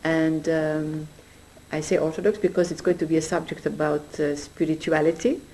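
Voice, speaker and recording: Mellow-voiced woman giving earnest testimony. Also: sits low, level tone, slight background noise, rough recording. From Greece. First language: Greek. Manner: earnest, measured, searching for words. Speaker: religious speaker. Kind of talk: personal spiritual testimony.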